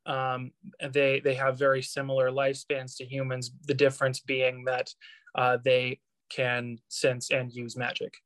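A man's voice: mid-range voice, monotone, unhurried, fairly bright tone, no room sound, rough recording. A man being interviewed as an author, explaining things carefully and hesitantly.

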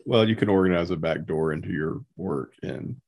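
Male podcast host: very low, creaky voice, casual and halting in delivery. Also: darkish tone. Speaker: podcast interviewer. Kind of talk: casual interview chat.

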